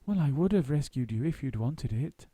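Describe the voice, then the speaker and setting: A man's voice: medium pitch, expressive, normal speed, dark tone, slightly rough, dry recording, voice pushed up. A man voicing a small, polite and earnest young bear who speaks firmly and a little indignantly.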